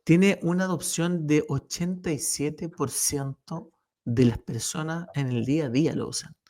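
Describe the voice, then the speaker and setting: A man's voice: a little high, darkish tone. A man hosting a radio interview who hesitates with many "eh" fillers and shifts between Spanish and Portuguese. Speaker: radio show co-host.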